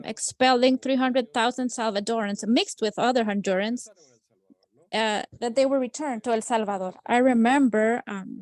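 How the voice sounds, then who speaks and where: High-voiced woman interpreting, halting. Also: no room sound. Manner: halting, with frequent um fillers and restarts. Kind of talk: simultaneous interpretation into English.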